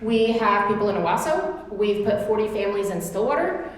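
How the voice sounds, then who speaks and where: A woman's voice: medium pitch, animated, a little fast, a little hiss or hum. A woman from Oklahoma with an Oklahoma accent whose first language was Turkish, chatting at length as a guest speaker to a church class. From Tulsa, Oklahoma. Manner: chatty, answers questions at length. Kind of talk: informal class talk. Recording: echoey hall with long reverb.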